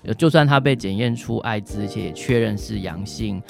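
A man with a Taiwanese Mandarin accent answering questions as a doctor on radio, in halting phrases capped with particles. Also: fairly low pitch, vocal fry, soft background music. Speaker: doctor, expert guest.

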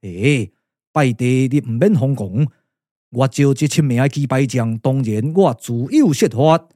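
A man's voice: mid-range voice, expressive, unhurried, mellow, no echo, clean studio sound. A man with a Taiwanese accent playing a composed, commanding strategist and elder brother in a puppet-theatre radio drama, declaiming in a stylised way. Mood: commanding, measured.

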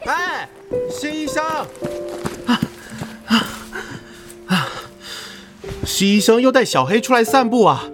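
A chatty carpenter with a high, animated voice in an audio drama. He is eager and bashful, effusive but hesitant, with faint music behind him. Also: slow, pauses often, exaggerated voice. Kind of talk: Chinese audio drama acting.

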